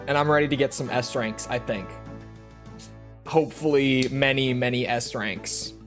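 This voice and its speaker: A man streams a game and chats to his viewers in a joking, upbeat way. His voice sits in a mid range and sounds a little rough. Faint music plays behind him. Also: mid-range voice, steady tone, normal speed, fairly bright tone. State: slightly rough voice. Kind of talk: casual live-stream chat over gameplay.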